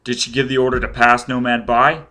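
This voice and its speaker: A man's voice: mid-range voice, flat delivery, fairly quick, bright, forceful tone, lightly acted. A man playing a driven, intense, demanding adult man in a dramatic audiobook story. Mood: enraged, demanding. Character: driven, raging adult man hunting for answers.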